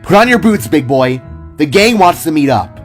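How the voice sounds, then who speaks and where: A man acts out a giddy young wife for an audio drama, pitched high and swooping with urgency. She is bossy yet affectionate, squealing and exclaiming. Music plays behind the voice. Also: big pitch swings, normal speed. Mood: excited. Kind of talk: audio drama character acting.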